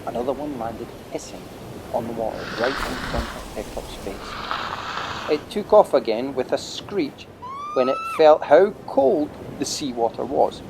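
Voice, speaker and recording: A man's voice: medium pitch, wildly swooping pitch, unhurried, background noise. A man narrating an adventure storybook dramatically as part of an audio play.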